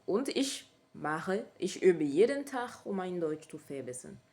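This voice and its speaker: French lady talking german, slow and careful, keeps fixing her words, kinda low voice. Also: very animated, a little mellow. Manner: hesitant, often pausing and correcting herself. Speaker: language learner sharing her method. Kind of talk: casual vlog talk to camera.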